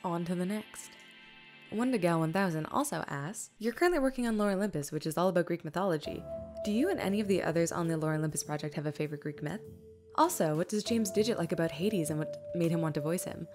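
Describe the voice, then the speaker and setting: A woman's voice: on the low side, fast. A woman hosting a question-and-answer video, talking informally and reading out questions from viewers. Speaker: host and fan comic-dub creator. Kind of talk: scripted-loose Q&A answers to viewers. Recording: music behind the voice. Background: background music.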